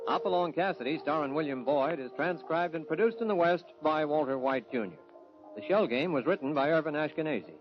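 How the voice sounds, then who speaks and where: A man's voice: on the high side, animated, fairly quick, music in the background. A man as an old-time radio announcer, reading a scripted show sign-off. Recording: dull old radio recording.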